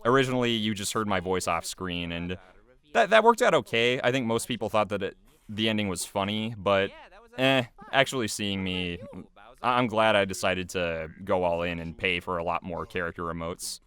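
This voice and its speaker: Low, smooth-voiced man, chatty. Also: moderate pace, fairly bright tone. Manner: chatty, rambling, self-effacing. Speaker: video creator giving commentary. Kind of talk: casual behind-the-scenes commentary. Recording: music playing behind the voice. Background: music.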